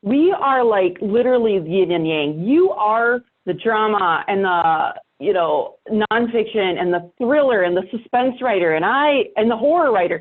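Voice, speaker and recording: A woman's voice: medium pitch, animated, normal speed, few pauses, phone-quality sound. A woman speaking casually as a guest in a podcast interview.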